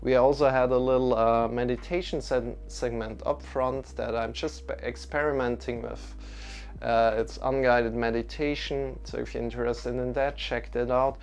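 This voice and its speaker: A man's voice: medium pitch, fairly even intonation, normal speed, slight background noise. A young man with a Swiss accent, hosting a live-streamed yoga class and greeting viewers in a loose, friendly way with frequent restarts. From Switzerland.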